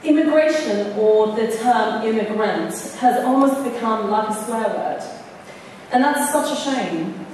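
A woman's voice: a little high, unhurried, darkish tone, a little hiss or hum. Woman speaking from a podium in an echoey hall. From Iran. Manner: earnest, storytelling, rising emphasis on key words. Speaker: award recipient giving acceptance speech. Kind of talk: public acceptance speech. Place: large hall, on stage at a podium. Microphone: podium mic, camera far off. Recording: echoey hall recorded from far off.

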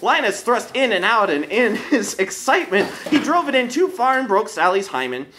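High, bright-voiced man telling stories. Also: very animated, normal speed, a little hiss or hum. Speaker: storyteller. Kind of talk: dramatic scripted comic narration.

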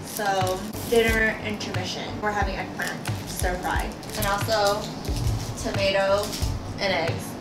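Female vlogger, casual and teasing, echoey garage sound, loud music bed. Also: medium pitch, fairly even intonation, unhurried, distant mic. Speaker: vlogger filming a home DIY project. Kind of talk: casual vlog chat. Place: home garage gym, indoors.

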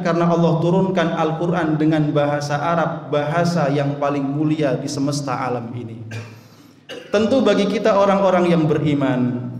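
A young man from Indonesia delivers a Friday sermon into a handheld mic, earnest and measured, drawing out key phrases. His voice sits high for a man. The mosque hall around him rings with a long, roomy reverb. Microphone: handheld mic at a lectern.

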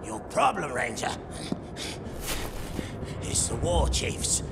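A man with a British accent voicing a scheming, wheedling, boastful orc in a video game, gravelly and theatrical. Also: medium pitch, big pitch swings, very noisy, full character voice. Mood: sly. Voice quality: gravelly, creaky. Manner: sly, theatrical, drawn-out phrasing. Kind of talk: video game character acting.

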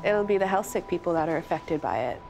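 A woman's voice: fairly low pitch, lively intonation, fast talker, over music, rough recording. A woman answering casually in an outdoor interview. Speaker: local interviewee.

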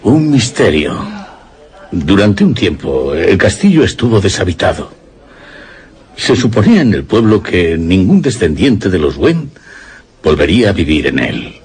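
An actor playing a grave old fisherman in a Spanish radio suspense drama, raspy and deliberate, recounting things mysteriously. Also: low voice, sing-song, darkish tone, exaggerated voice. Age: older. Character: grave old village fisherman telling local rumours.

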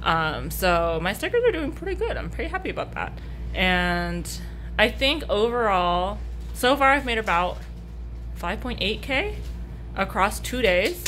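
A young woman vlogging after a convention day, tired and a little hoarse, rambling and laughing often with casual swearing. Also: medium pitch, big pitch swings, unhurried, a bit bright, a little hiss or hum. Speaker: artist vlogger. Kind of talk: casual vlog chat. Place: hotel room and convention hall.

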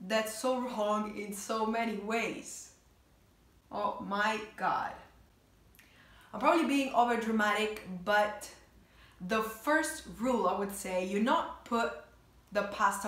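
A woman's voice: medium pitch, fairly bright tone. A woman in her twenties speaks English with an Italian accent. She talks casually to her viewers as a vlogger reacting to a video, her mid-range voice carrying the echo of a bedroom. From Italy. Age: 20s. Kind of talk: casual reaction commentary to camera. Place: small bedroom indoors, sitting on bed. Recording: roomy, echoey bedroom sound.

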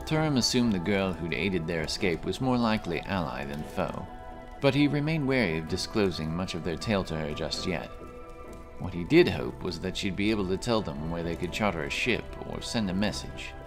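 A man with a very low voice narrates a fantasy tale in storybook fashion. Soft music plays faintly beneath his scripted reading. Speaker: audiobook narrator.

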